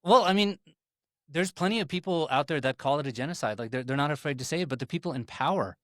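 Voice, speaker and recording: A man's voice: fairly high pitch, animated, fast talker, fairly bright tone, no room sound, clean studio sound. A man from Pakistan speaking as a podcast guest, earnest and impassioned, often repeating words as he makes his point. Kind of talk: casual political discussion.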